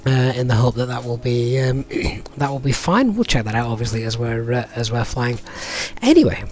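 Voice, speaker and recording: A middle-aged man with a slightly croaky, cold-affected voice, hosting a livestream in a chatty, hesitant, casual way. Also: mid-range voice, lively intonation, moderate pace, faint music behind. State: has a cold. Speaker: flight simulator streamer and host.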